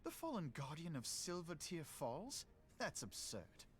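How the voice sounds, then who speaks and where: An actor playing an earnest, idealistic young diplomat in a fantasy game, speaking formally and eloquently. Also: male voice, a little high, very animated, measured pace, a little hiss or hum. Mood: incredulous. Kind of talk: scripted fantasy game dialogue.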